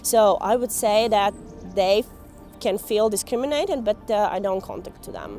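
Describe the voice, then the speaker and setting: A young woman from Latvia whose first language is Russian, answering interview questions haltingly in a second language. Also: mid-range voice, darkish tone, soft background music. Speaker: documentary filmmaker and activist.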